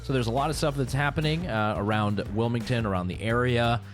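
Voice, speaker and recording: A male radio talk show host giving opinionated commentary in a sarcastic, animated way. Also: medium pitch, a little fast, faint music behind. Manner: animated, sarcastic, laughs while ranting.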